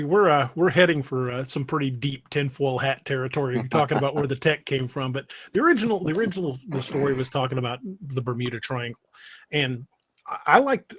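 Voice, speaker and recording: Husky-voiced man, slightly higher pitch. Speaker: podcast host. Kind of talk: casual panel discussion.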